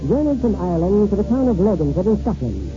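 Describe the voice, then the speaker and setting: A man's voice: sits high, very animated, fast talker, over music. A man presenting a 1940s radio theater show in formal, scripted announcer style. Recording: muffled 1940s radio recording.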